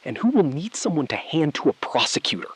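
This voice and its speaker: Actor as a calm, calculating male bank insider. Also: fairly high pitch, breathy edge, full character voice. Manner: measured, evasive, precise. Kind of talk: scripted audio thriller acting.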